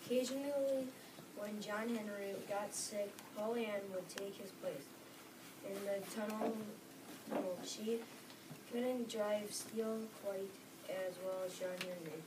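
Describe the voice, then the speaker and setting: Female narrator, slow stumbling script read, distant recording with clear noise. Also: mid-range voice, fairly even intonation. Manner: halting, stumbling reading.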